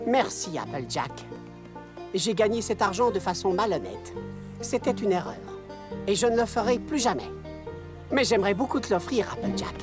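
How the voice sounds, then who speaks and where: Woman playing a lively, swooping pony salesperson. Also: medium pitch, moderate pace, over music, natural voice. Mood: contrite. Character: smooth-talking pony salesperson with a troubled conscience. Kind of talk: French-dubbed children's cartoon acting.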